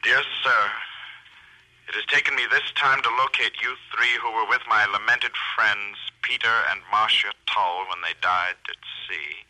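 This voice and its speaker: A man's voice: on the low side, sharp tone, rough recording. An actor playing a formal, courteous conductor reading his letter in a radio drama. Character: formal middle-aged male conductor writing a letter.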